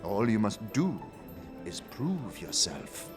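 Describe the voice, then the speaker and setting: A man's voice: fairly low pitch, sing-song, slow, big-room sound, soft background music. A man voicing a sturdy, jovial older faction leader in a fantasy audio drama, with grand, expansive, theatrical phrasing. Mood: grand, persuasive.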